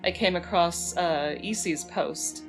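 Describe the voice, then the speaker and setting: A woman's voice: fairly low pitch, takes it slowly, soft background music, rough recording. A woman chatting casually as a panel guest, telling anecdotes in a playful, jokey way. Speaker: game writer, panel guest.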